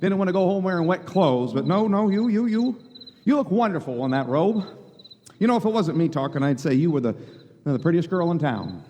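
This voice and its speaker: A man plays an eager, awkward young small-town fellow in old-time radio drama. His voice sits a bit high and he stumbles bashfully over his words. A hall's long echo surrounds him. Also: expressive, dark tone, natural voice. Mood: smitten, awkward. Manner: stammering, halting, folksy. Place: live performance in a hall. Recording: echoey hall, music bed behind.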